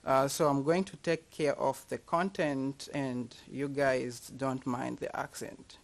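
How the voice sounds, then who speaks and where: A man's voice: medium pitch, steady tone, takes it slowly, vocal fry. A man in his thirties from Kenya with a Kenyan accent, a university teacher giving a personal, self-deprecating talk to an audience.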